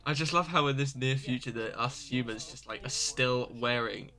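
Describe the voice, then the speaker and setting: Bright-voiced joking man. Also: medium pitch, moderate pace. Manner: joking, teasing, laughing. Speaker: actor and co-host of commentary. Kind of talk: casual commentary chat.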